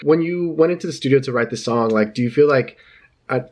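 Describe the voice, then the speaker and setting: Man interviewing, medium-pitched voice. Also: fast, a little mellow. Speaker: entertainment interviewer. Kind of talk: casual interview questioning. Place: video call.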